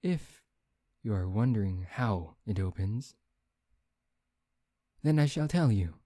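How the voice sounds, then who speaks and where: A man playing a brooding, taunting vampire in an ASMR roleplay, speaking in a theatrical, deliberate, teasing way. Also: fairly low pitch, animated, mellow, dry recording, studio quality. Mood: warning, smug. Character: brooding, taunting male vampire bent on revenge. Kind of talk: ASMR roleplay, soft dramatic acting.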